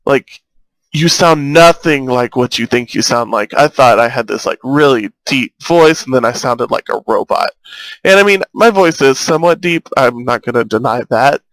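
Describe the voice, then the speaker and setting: A man's voice: mid-range voice, moderate pace, dry recording, poor audio. A young man with a slight lisp chatting casually while playing a game, recorded on a cheap earbud microphone. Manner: chatty, rambling, easily excited. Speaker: gaming YouTuber. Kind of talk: casual let's-play commentary. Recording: thin, robotic-sounding earbud mic.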